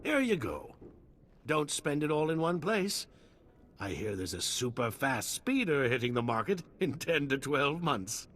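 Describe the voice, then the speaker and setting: An actor playing a flamboyant, self-amused tech salesman in a video game, pitching theatrically with joking asides and dramatic pauses. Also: sing-song, fairly bright tone, full character voice. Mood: wry joking. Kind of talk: video game character acting.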